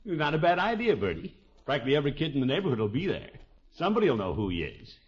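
An actor playing a blustery, kindly middle-aged uncle with a chuckling, avuncular manner in a 1950s radio sitcom. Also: male voice, on the high side, wildly swooping pitch, fast talker, breathy edge, full character voice. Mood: jovial, kindly. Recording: noisy, dull 1950s radio recording.

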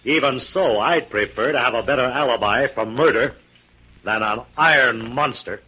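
An actor playing a confident, skeptical, protective detective in 1940s radio style, brisk and authoritative. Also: male voice, medium pitch, natural voice. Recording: muffled, noisy 1940s radio recording.

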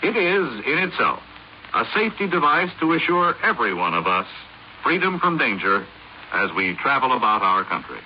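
Muffled old radio audio with faint noise carries an American male announcer. His pitch moves in lively swoops as he reads a polished, explanatory fifties public service script. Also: moderate pace. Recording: muffled, hissy 1950s radio recording.

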